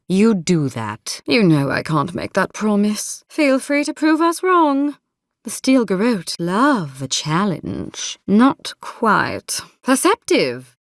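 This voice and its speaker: An actress playing a polished, menacing military captain in a fantasy video game, courteous and measured with a silky threat underneath. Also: female voice, on the low side, dry recording, clean studio sound. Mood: cool warning.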